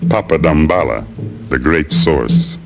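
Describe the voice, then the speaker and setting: A low, unhurried voice of a humble young island guide in an old radio play, speaking soft broken English and now and then chanting. The old broadcast sound has faint music under it. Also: male voice, creaky, full character voice. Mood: solemn. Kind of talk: 1950s radio drama acting.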